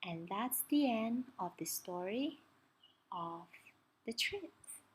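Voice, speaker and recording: A woman reading a children's story aloud, expressively, pausing to ask young listeners questions and point things out. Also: medium pitch, lively intonation, slightly rough.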